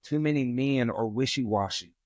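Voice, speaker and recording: A man's voice: mid-range voice, normal speed, a little mellow, dry recording. Emphatic male podcast guest, dry sound. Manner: emphatic, preachy, repeats catchphrases. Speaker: guest, real estate investor. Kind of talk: casual podcast conversation.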